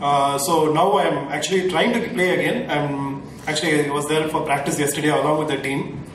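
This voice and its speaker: A man gives a hesitant speech at a launch event, stumbling through fillers and restarts. His voice sits a little high. The mic is distant, the big hall echoes heavily, and a faint hum sits underneath. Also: steady tone. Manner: halting, many fillers and restarts. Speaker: team owner at a launch event.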